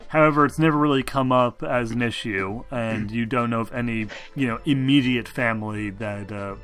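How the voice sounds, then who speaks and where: Bright-voiced man running a tabletop game. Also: mid-range voice, faint music behind. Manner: halting, explanatory. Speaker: game master. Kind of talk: narrating a tabletop roleplaying game.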